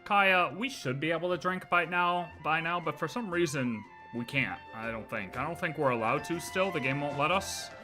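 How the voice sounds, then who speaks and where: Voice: medium pitch, normal speed, hardly any pauses, music in the background. A game streamer giving casual, offhand commentary with dry remarks. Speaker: livestreamer reacting to a video game.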